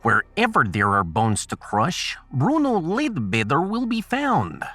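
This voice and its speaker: Man acting a bright, theatrical, sarcastic space officer. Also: a little high, huge pitch swings, a little slow, no echo, exaggerated voice. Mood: wry, sarcastic. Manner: flowery, sarcastic. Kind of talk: sci-fi audiobook character acting. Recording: faint music behind the voice.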